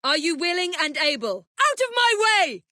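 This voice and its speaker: Game role: young female English assassin and gang leader of the Victorian era. High, expressive, crisp, wry, in command; dry studio sound. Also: moderate pace, no echo, exaggerated voice. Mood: urgent shouting. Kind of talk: video game voice lines.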